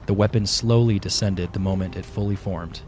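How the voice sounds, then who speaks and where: A man narrating a scripted fantasy audio drama in a measured storytelling manner. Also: sits low, steady tone, a little mellow, a little hiss or hum. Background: background music.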